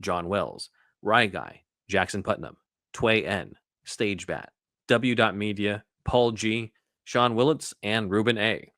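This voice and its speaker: A male podcast host speaks in a notably low voice. His delivery is casual and conversational, and the sound is dry, with hardly any room echo. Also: slow, fairly bright tone.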